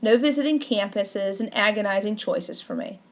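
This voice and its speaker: A woman reading a first-person memoir aloud as an audiobook, in a measured, deliberate way with careful emphasis. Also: medium pitch. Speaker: audiobook narrator.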